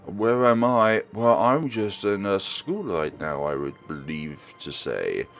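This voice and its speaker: Creaky, low-voiced man with swooping intonation. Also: very animated, slow pace, fairly bright tone, faint music behind. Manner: dramatic read-aloud with casual asides. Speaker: let's play host. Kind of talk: game commentary and read-aloud narration.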